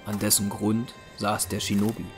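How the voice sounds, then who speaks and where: A man's voice: lowish voice, monotone, normal speed. A man narrating an audio drama in a scripted, dramatic storytelling style. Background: music.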